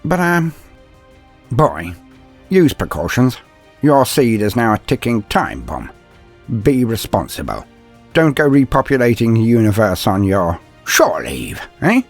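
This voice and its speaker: A man playing a gruff, blunt older male inquisitor and worldly mentor in an audio story, hemming and teasing in a fatherly way. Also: medium pitch, big pitch swings, unhurried, soft background music. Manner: hesitant euphemisms, throat-clearing, teasing bluntness.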